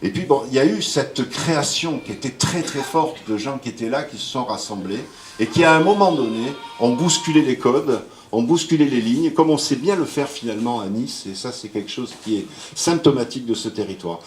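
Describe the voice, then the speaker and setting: A man's voice: medium pitch, expressive, brisk, a little hiss or hum. A man giving a rambling, hesitant speech to an audience at a book launch. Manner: rambling, hesitant, with jokes and praise. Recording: roomy hall with faint ambience.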